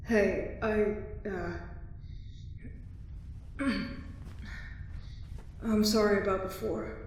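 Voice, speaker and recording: An actress in an audio drama playing a wounded but wryly joking apocalypse survivor, halting and self-mocking. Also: female voice, medium pitch, fairly even intonation, slight background noise. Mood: confessional, weak. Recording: very echoey hall-like reverb.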